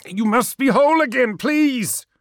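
Man playing loyal young-spirited warrior son, earnest pleading, deferential, urgent, raised pitch, dry close audiobook sound. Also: expressive, a little slow, no room sound. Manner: urgent, earnest, deferential, projecting over a storm.

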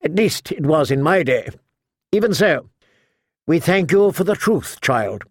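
A man voicing a sharp-tongued, imperious elderly noblewoman in an audiobook, brisk and commanding. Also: a little high, lively intonation, dry recording, clean studio sound. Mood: proud. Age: older.

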